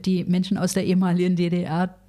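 A woman's voice: on the low side, monotone, quick pace, dark tone. A woman in her sixties from Berlin, chatting frankly as an interview guest, with many fillers and restarts. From Berlin, grew up in West Berlin. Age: 60s. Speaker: food bank manager, guest. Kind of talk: casual interview conversation.

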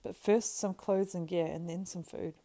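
A woman narrator plays a faithful hobbit servant from a fantasy storybook, earnest and practical. He speaks in humble, rustic turns of phrase, with a mellow, creaky grain to the voice. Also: on the low side, fairly even intonation, normal speed, voice pushed up. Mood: reassuring, practical. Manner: deferential, homely rustic phrasing.

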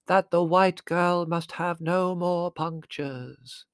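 A man's voice: high-pitched, steady tone, smooth, dry recording, studio quality, pitched above the performer's own voice. A man voicing a pompous female medium in a theatrical, incantatory trance, with a put-on Indian accent. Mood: solemn trance. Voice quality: drawn-out, incantatory delivery.

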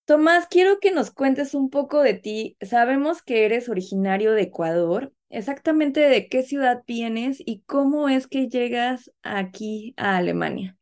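A woman's voice: fairly high pitch, expressive, measured pace, few pauses, darkish tone. A woman hosting a radio interview from a virtual studio, chatting with her guest in a friendly, conversational way. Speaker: radio host. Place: Stuttgart, Germany. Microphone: virtual studio, remote connection.

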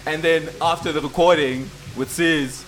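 A man co-hosting a podcast in casual, swearing, animated chat. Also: fairly high pitch, moderate pace, slight background noise, poor audio. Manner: animated, swears freely, laughs often. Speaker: podcast co-host.

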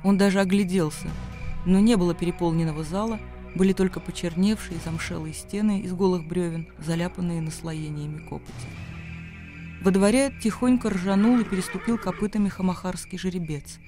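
A man's voice: high voice, a little fast, dark tone, faint music behind. A man narrating literary prose as the storyteller of a radio play.

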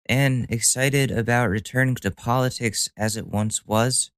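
A man's voice: medium pitch, steady tone, measured pace, a bit bright, clean tone, no room sound, clean studio sound. A young man hosting a solo podcast, talking casually with a stuffy nose, rambling and joking at his own expense with the odd swear word. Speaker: podcast host.

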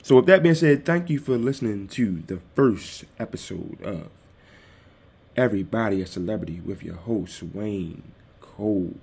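Male podcast host, calm and easygoing, mellow low voice, desktop mic. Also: moderate pace, vocal fry, slightly rough. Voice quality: calm, soothing voice. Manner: easygoing, addresses listeners directly. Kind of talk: casual solo podcast talk. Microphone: desktop USB microphone.

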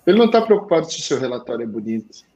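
Blunt animated man with Portuguese accent. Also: medium pitch, very fast. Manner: blunt, animated, slangy, swings to loud emphasis. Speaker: sales coach for accountants. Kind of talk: live talk show advice.

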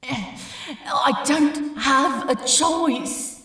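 A woman's voice: high-pitched, drawn-out words, a bit bright, very echoey, recorded from a distance, pitched above the performer's own voice, heavily acted. A woman voicing an anguished male demon in an audiobook, crying out desperately for the lover he is losing. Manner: crying out, pleading. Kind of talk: fan fiction audiobook character acting.

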